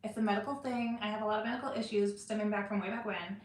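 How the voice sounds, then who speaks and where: A woman's voice: medium pitch, steady tone, quick pace, echoey room, a little hiss or hum. A woman in her forties co-hosting a live stream, chatting casually and joking about herself. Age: 40s. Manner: chatty, self-deprecating. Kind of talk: casual chat.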